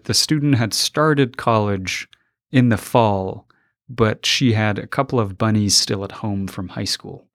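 A young man from Afghanistan with a fairly low voice tells personal stories in a reflective, unhurried way. He stops to search for the right words, then livens up when he retells a scene. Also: dry recording, clean studio sound. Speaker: interviewee, college alumnus.

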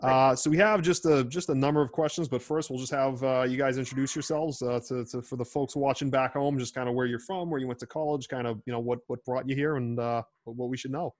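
A man's voice: medium pitch, fairly even intonation, quick pace, hardly any pauses. A man in his twenties with a Boston accent, co-hosting a podcast in a jokey, rambling way. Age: 20s. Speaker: podcast co-host.

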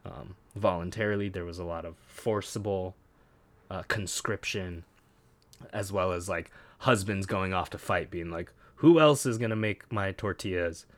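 Low-voiced man reading and riffing. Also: normal speed, no echo. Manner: reads passages aloud and breaks off with wry asides. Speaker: podcast co-host. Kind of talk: casual chat, reading aloud from a web page.

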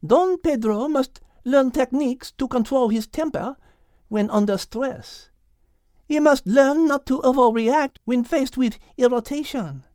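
A man voicing a poised, clever female French cat with measured, polite speech, in an audiobook. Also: very high-pitched, darkish tone, no echo, studio quality, pitched above the performer's own voice. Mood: calm, tactful. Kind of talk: audiobook character acting.

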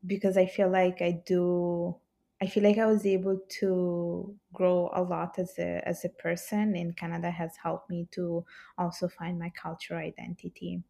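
A woman's voice: lowish voice, flat delivery, moderate pace, vocal fry, dark tone. A woman from Italy, a podcast guest, talking in a thoughtful, hesitant way with many fillers. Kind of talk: casual interview conversation.

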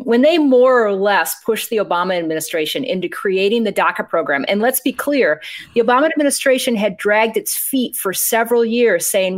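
A woman hosting a political discussion, talking in an indignant, questioning way. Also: mid-range voice.

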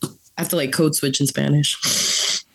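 A young woman from the Bronx, a coffee grader, chatting as a guest in a blunt, profane, animated way and laughing often. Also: deep voice, unhurried, vocal fry, breathy edge, low-quality recording. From Bronx, New York. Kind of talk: casual chat.

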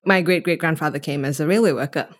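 A woman's voice: sits low, quick pace. A young woman from Kenya, telling personal stories as a podcast guest in a reflective, articulate way. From Mombasa, Kenya. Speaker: podcast guest, theatre artist. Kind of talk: conversational interview, personal storytelling.

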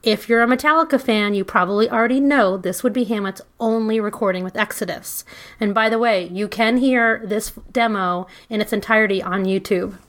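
A woman's voice: mid-range voice, fairly quick, a bit bright. Chatty woman podcast host. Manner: chatty, laughing asides, frequent ums. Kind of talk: casual podcast chat and band history.

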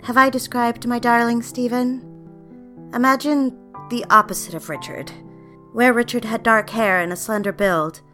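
A woman playing a refined, smitten young career woman in a mid-century period audio drama, speaking with measured, demure poise. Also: medium pitch, a bit bright, lightly acted. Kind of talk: period audio drama with first-person narration.

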